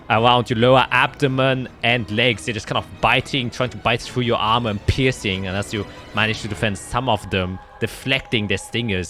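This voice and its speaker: A man's voice: on the low side, pushed and bright. A man narrating a tabletop roleplaying game as game master, describing scenes and calling out dice results.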